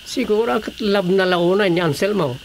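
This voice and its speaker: A woman's voice: mid-range voice, normal speed, a little mellow, natural voice. An actress as a Filipino village councilwoman, noisy track. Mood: firm, conversational. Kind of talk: Filipino radio drama acting.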